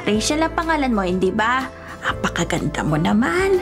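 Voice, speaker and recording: Casting: hospitable middle-aged provincial mom, gently bossy, warm, radio serial, music underneath. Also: female voice, fairly high pitch, big pitch swings, normal speed. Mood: welcoming, motherly. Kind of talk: Tagalog radio drama acting.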